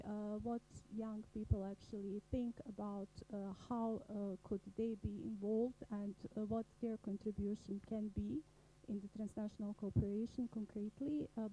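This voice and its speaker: Woman coordinator at a panel, mellow dark tone, hesitant with lots of fillers, faint hum behind. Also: medium pitch, low-quality recording. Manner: many filler pauses, laughs at the end. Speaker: national program coordinator. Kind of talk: hesitant self-introduction at a forum.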